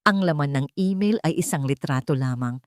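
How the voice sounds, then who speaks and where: A woman's voice: low voice, lively intonation, normal speed, dry recording, studio quality. A woman with a Filipino accent narrating a dramatic scripted story.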